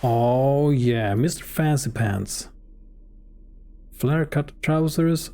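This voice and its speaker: A man's voice: mid-range voice, drawn-out words. A man whose first language is Swedish, joking and reacting casually while streaming a game. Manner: relaxed and joking, with frequent fillers. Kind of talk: casual live gameplay commentary.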